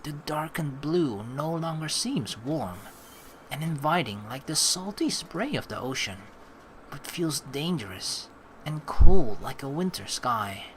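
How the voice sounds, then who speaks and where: A let's player reading game text aloud and giving casual, rambling, halting commentary as they think out loud. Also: medium pitch, expressive, slight background noise, low-quality recording.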